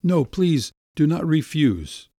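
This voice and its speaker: A man's voice: on the high side, big pitch swings, slow, mellow, no echo, clean studio sound, voice pushed up, exaggerated voice. A man voicing an earnest, insistent young man who pleads effusively, in a raised voice. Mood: earnest pleading.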